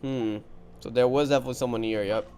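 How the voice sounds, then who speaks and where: A man's voice: mid-range voice, steady tone, quick pace, darkish tone, smooth. Man musing through a game playthrough. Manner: thinks aloud in short musing remarks. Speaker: game streamer. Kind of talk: casual playthrough commentary. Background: game music.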